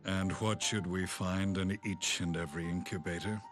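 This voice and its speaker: A man performs a grave, proud old soldier in a game cutscene. His voice is deep and his delivery solemn and formal, over prominent music. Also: steady tone, moderate pace, natural voice. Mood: grim. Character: grave, proud, repentant middle-aged male former imperial commander. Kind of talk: video game cutscene acting.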